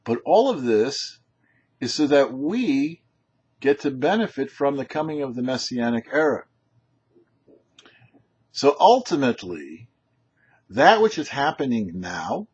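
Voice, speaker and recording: Man, rabbi giving a religious teaching, unhurried pace, very varied intonation, deliberate emphatic phrasing, slightly dull lo-fi sound. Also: mid-range voice, slightly rough. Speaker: rabbi, show host. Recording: dull lo-fi sound with background noise.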